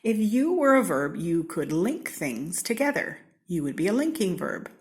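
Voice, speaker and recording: An American woman from Seattle reading a children's storybook aloud in an expressive, theatrical way, with deliberate, playful emphasis. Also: fairly low pitch, a little hiss or hum. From Seattle, United States. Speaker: storybook reader.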